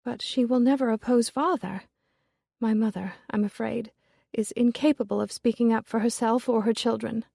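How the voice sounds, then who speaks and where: A woman voicing a genteel young lady who speaks softly and pleads in distress. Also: fairly high pitch, steady tone, moderate pace, vocal fry, no echo, clean studio sound.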